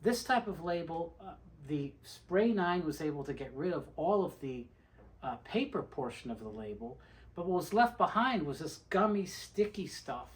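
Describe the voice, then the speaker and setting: A man's voice: on the high side, lively intonation, moderate pace. A man presenting an informal how-to video, explaining things step by step in a methodical, plain-spoken way. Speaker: presenter of a how-to video on restoring tape reels. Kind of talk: informal instructional demonstration.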